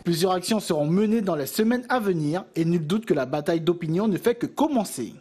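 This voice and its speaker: A man reading a news report voice-over, brisk and clear. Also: high-pitched, lively intonation, mellow.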